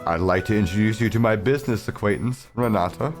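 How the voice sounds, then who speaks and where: A man voicing a gracious but scheming woman who hosts guests at her mansion in an overly polite, smooth manner. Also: fairly low pitch, fast talker, soft background music, lightly acted. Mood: sweetly scheming.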